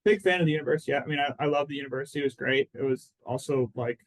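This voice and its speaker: A man's voice: medium pitch, rapid-fire, rough recording. Chatty young male lawyer from Phoenix. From Phoenix, Arizona. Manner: relaxed and chatty, lots of "like" and "I mean". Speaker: personal injury lawyer, guest. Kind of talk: casual interview answers.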